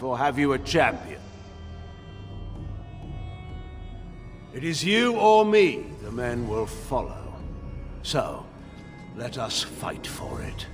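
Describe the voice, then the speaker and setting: A proud, bitter general in a dramatic fantasy game. His delivery is slow and gravelly, swinging widely in pitch with commanding oratory. It rings through a long, echoing hall over faint music. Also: full character voice. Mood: grim, resolute. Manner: oratorical, forceful, grandiose delivery. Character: proud middle-aged warrior general and regent, bitter and commanding. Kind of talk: dramatic game cutscene acting.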